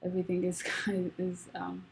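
Young woman, low gravelly voice, unhurried, hesitant guest. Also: monotone, slight background noise. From Rwanda. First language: not English. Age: young adult. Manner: soft-spoken, hesitant, many fillers and restarts. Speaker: guest, college student. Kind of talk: casual conversation.

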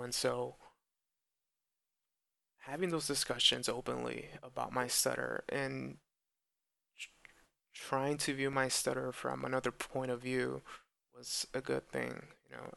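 Slow, earnest young man who stutters. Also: mid-range voice, vocal fry, a bit bright, no echo. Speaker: podcast host who stutters. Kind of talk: personal reflective monologue.

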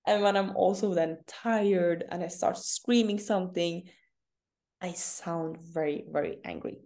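Norwegian-accented woman, low mellow voice. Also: unhurried. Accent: Northern Norwegian. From northern Norway. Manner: candid, laughs often. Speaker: CrossFit athlete guest. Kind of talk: casual interview.

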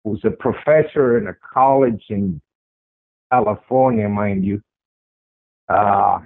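A man's voice: on the low side, takes it slowly, gravelly, no room sound. Strong-voiced man on a phone-like line. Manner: emphatic, with repeated phrases for stress. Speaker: interview guest recounting a personal sighting. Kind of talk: conversational first-person storytelling. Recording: tinny phone-like radio line.

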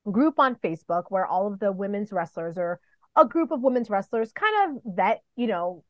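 A woman from New York City and the Dominican Republic chatting casually as an interview guest, telling stories in an animated, playful way. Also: on the high side, dry recording, highs cut off. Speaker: podcast guest, professional wrestler.

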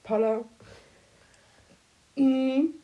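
A woman's voice: sits high, steady tone, extremely slow, phone-quality sound. A woman in her twenties with a cold and a blocked nose, chatting casually as a podcast co-host and asking playful questions. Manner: playful, asks quirky questions, laughs often. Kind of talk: casual chat.

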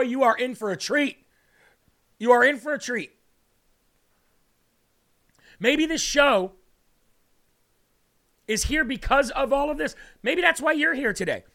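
A man with a raspy voice hosting a faith livestream, preaching and reading viewer comments aloud, often repeating phrases for emphasis. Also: very high voice, bright, forceful tone. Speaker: host of a faith livestream show.

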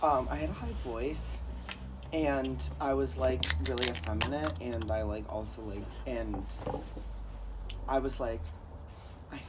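A man's voice: mid-range voice, fairly even intonation, unhurried, long pauses, very noisy. A man co-hosting a podcast in casual chat, rambling and jokey with lots of fillers. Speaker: podcast co-host.

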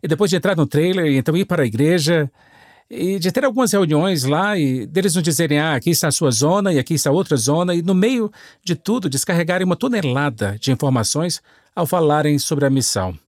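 A man with a fairly high voice recounts his memories as a missionary guest. His recollections come quickly, one after another, in dry and close sound. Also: lively intonation, no echo. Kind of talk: personal storytelling.

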